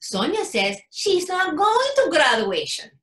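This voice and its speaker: High, very animated exasperated mother played by a woman. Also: unhurried, exaggerated voice. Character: exasperated, overwhelmed mother of a graduating teenager. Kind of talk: theatrical staged reading. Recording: roomy, echoing sound.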